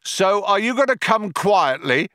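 A man's voice: on the high side, animated, measured pace, bright, forceful tone, no room sound, studio quality, voice pushed up. Man acting a bellowing, self-justifying trigger-happy cop. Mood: shouting threats. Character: trigger-happy self-styled sensitive male cop, shouting, indignant.

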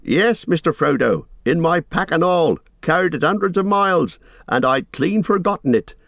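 A man voicing a loyal, excitable hobbit servant who chatters anxiously in rustic, deferential dialect, as in an audiobook. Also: fairly high pitch, moderate pace, no room sound, voice pushed up. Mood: excited rambling.

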